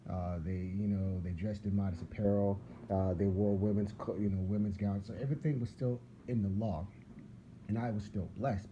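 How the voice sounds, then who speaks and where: A man's voice: low-pitched, mellow. A man from whose was Arabic, preaching in a casual, rambling monologue that repeats words and restarts sentences. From Philadelphia. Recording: dull lo-fi sound with clear background noise.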